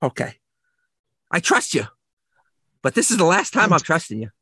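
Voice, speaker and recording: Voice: medium pitch, very animated, normal speed, sharp tone, husky, no echo, studio quality, full character voice. A performer playing an aggrieved male landlord in a comedic audio play, rambling awkwardly in a whiny, exasperated way. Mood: stern, demanding.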